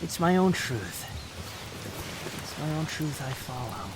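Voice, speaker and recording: A proud young man, played by a male actor, speaks in a fairly high voice, bitter and guarded with tenderness underneath. The delivery is an intimate, brooding ASMR roleplay, curt at the edges and confessional. Clear outdoor nature ambience sits behind the voice. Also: very animated, measured pace, background noise. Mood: guarded, vulnerable.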